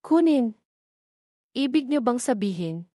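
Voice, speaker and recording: A woman's voice: a little high, expressive, slow pace, warm tone, no echo, studio quality, voice pushed up. A woman voicing a hesitant, conflicted young woman who questions her orders in an audio drama. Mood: confused. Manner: unsure, questioning.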